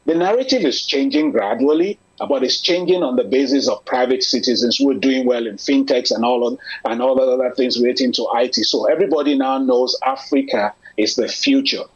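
A man in conversation, speaking in an earnest, measured, persuasive way. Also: medium pitch, slightly rough. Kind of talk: conversational discussion. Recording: lo-fi muffled audio.

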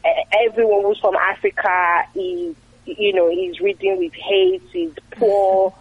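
A woman's voice: mid-range voice, big pitch swings, a little slow, slightly rough, no room sound, phone-quality sound. A woman from Nigeria, a guest in an interview, talking casually and chattily with frequent fillers and repeated words.

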